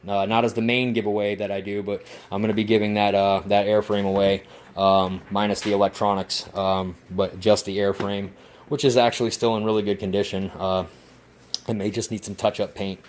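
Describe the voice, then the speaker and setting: A man vlogging casually about his model plane build, rambling and swearing with excitement. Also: lowish voice, fairly quick, slight background noise. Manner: rambling, profane, enthusiastic. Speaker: RC hobby vlogger. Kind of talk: casual workshop vlog.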